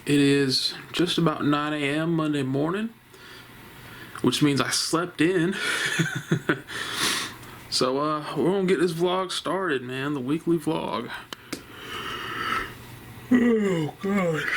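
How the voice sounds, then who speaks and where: A man vlogging casually at home, rambling in a relaxed way and talking quietly instead of projecting. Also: on the high side, slow, long pauses. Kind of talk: casual home vlog chat. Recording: noticeable background noise.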